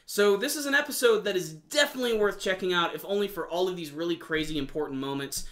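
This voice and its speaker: Male episode reviewer, slightly high pitch, sharp bright tone, excited fan commentary, informal and slangy. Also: fast. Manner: casual fan talk with slangy emphasis. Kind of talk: enthusiastic video review commentary.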